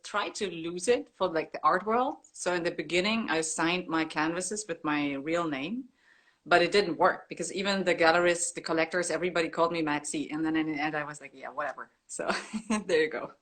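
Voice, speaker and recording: A woman's voice: low voice, fairly quick, a bit bright. A woman, chatting casually and modestly as a guest artist in an interview. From Germany. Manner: laughs often, modest and chatty. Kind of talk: casual interview on a video call.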